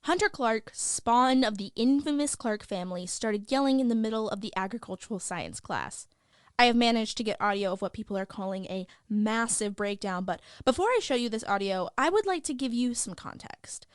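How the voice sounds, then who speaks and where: A woman's voice: medium pitch, no echo, studio quality, close to an everyday voice. A woman playing a gossipy, opinionated young school news reporter, chatty and sardonic, delivering scripted podcast news. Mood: gossipy, measured. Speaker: host of a school news show.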